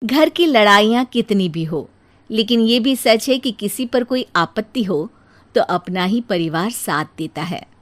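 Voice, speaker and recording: A woman's voice: mid-range voice, lively intonation, unhurried. A woman giving scripted narration for a cartoon story.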